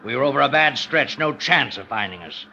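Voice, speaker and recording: An actor playing a smug, menacing embezzler and killer with a cynical sneer, in old-time radio suspense style. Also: male voice, medium pitch, animated, brisk, pushed and bright. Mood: gloating menace. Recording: dull, noisy vintage radio recording.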